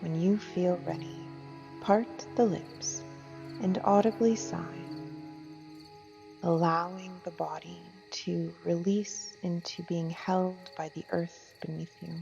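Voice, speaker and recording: Very slow, soothing woman guiding meditation. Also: on the low side, fairly even intonation, gravelly. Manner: soothing, gentle, unhurried with long pauses. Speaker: yoga teacher guiding meditation. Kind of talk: guided meditation. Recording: muffled, long reverb, music and insect sounds.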